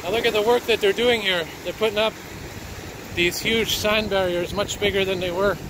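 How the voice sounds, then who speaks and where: Man, slightly hoarse, higher voice, chatty walking commentary, handheld camera, clear street and water noise outdoors. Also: steady tone, normal speed. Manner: chatty, narrating as he walks. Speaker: citizen video reporter. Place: outdoors by a flooded river.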